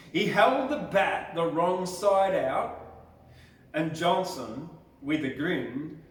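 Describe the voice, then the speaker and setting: A man reciting a bush ballad as a poetry reader, in a theatrical, deliberate storytelling style. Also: sits high. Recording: very echoey, distant microphone.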